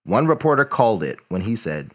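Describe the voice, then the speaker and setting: A man acting as a measured, deliberate chronicler of newspaper history in an audio play. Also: on the low side, sing-song. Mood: measured, formal.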